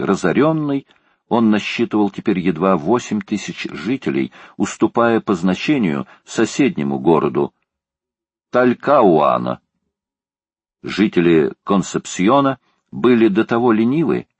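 Male audiobook narrator, low register, a touch husky, steady storytelling delivery. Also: no room sound. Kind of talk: scripted narration of a classic adventure novel.

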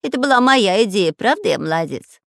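A woman voicing a whiny, boastful, excitable male bandit spy in a children's storybook. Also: mid-range voice, fairly quick, vocal fry, dry recording, clean studio sound, pitched above the performer's own voice. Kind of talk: children's storybook character acting.

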